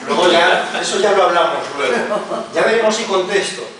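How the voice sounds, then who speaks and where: A man's voice: sits high, normal speed, crowd noise. A man, the event's editor, giving brief friendly replies at the presentation. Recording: echoey distant room sound with noise.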